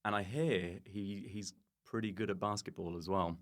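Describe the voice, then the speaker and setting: A man's voice: lowish voice, fairly even intonation, normal speed. A man chatting casually as a comedian guest on a podcast, joking in a self-deprecating way. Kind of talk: casual comic chat.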